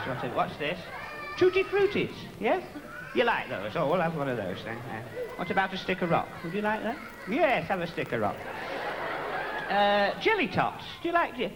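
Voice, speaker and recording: A man's voice: medium pitch, lightly acted. A man playing a fussing, kindly pantomime dame. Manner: coaxing, fussing, animated with children. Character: kindly pantomime dame, middle-aged woman. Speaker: pantomime dame with children on stage. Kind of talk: live stage comedy. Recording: noisy archive stage recording.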